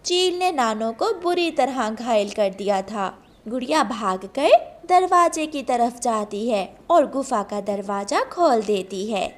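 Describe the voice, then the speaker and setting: Slow, high-pitched woman storyteller. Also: expressive. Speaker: narrator. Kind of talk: scripted children's cartoon story narration.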